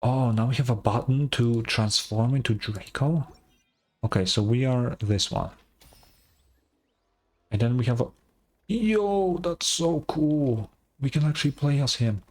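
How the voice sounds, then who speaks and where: A man's voice: mid-range voice, lively intonation, measured pace, a little mellow. A man giving casual, informal gameplay commentary, reacting aloud as he plays. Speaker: gaming streamer.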